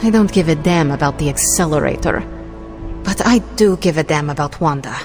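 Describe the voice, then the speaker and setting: An actress playing a sardonic, blunt, fiercely loyal superheroine in a video game cutscene, with a wry manner. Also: female voice, sits low, fairly quick, lightly acted. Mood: determined, concerned. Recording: clear music behind voice.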